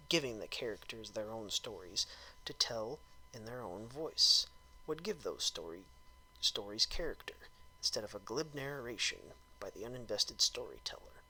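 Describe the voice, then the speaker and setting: A man performs a written review as an over-the-top dramatic reading. His voice is intense and very sharp, with a lively swooping pitch. A husky roughness runs through it, and he leans theatrically on his emphasis. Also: rough recording.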